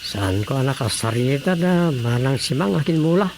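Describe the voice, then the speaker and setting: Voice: medium pitch, expressive, moderate pace, a little mellow, close to an everyday voice. Village councilor played by an actor, faint noise behind. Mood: reporting. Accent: Ilocano. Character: village councilor reporting on neighbourhood thefts. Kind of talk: Ilocano radio drama comedy acting.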